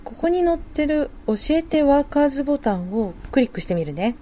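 A woman playing a working young mother and wife in a radio drama, talking softly and conversationally as she thinks aloud. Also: sits high, very animated, a little fast, slight background noise. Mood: curious. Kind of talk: amateur radio drama dialogue.

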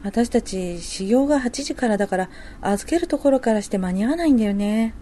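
A woman playing a working young mother and wife in a radio drama, talking softly and conversationally as she thinks aloud. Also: fairly high pitch, animated, fairly quick, a little hiss or hum, natural voice. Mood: concerned. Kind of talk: amateur radio drama dialogue.

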